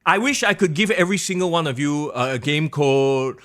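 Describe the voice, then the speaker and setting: Young male host, Singaporean English accent, slightly high voice, hoarse, excitable solo stream chat. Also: brisk. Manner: excitable, animated, drops to low mutters. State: hoarse, losing his voice. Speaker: wrestling podcast host. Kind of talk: solo live-stream chat reading viewer comments.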